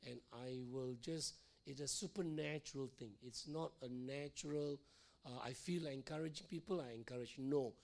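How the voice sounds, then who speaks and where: A middle-aged male pastor preaching in a church, hoarse from losing his voice, repeating phrases and checking in with "all right?" and "okay?". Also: mid-range voice, normal speed, a little mellow. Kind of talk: sermon teaching.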